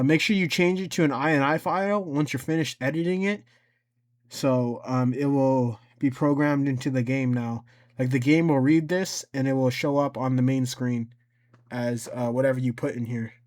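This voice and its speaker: A man giving a casual, unscripted software tutorial, thinking aloud as he goes, haltingly repeating and correcting himself. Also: medium pitch. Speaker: tutorial host.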